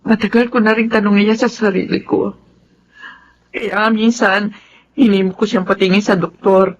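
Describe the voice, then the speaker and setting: A woman's voice: mid-range voice, steady tone, normal speed, highs cut off, natural voice. A woman playing a long-suffering, battered wife and mother, plaintive and pained, in a melodrama. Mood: weary resigned. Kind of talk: melodramatic drama acting.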